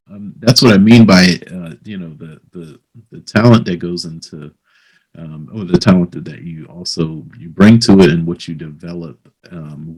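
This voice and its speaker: A man hosting a casual interview, talking haltingly as he searches for words. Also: low-pitched, husky, poor audio. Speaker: interviewer, host.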